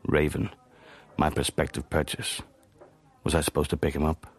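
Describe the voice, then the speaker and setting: An actor playing a world-weary, sardonic Cold War spy, narrating a radio drama in a dry, understated manner. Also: male voice, a bass voice, flat delivery, moderate pace, slightly rough. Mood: brooding, wry. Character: wry, world-weary Cold War secret agent, insubordinate.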